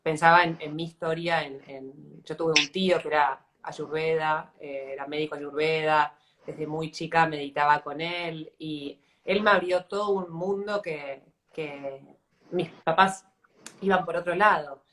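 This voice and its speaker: A woman's voice: low-pitched, steady tone, a little slow, a bit bright, a little hiss or hum. A woman in her thirties with an Argentine accent, interviewing in a casual, reflective way as she searches for her words. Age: 30s. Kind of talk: casual live interview chat.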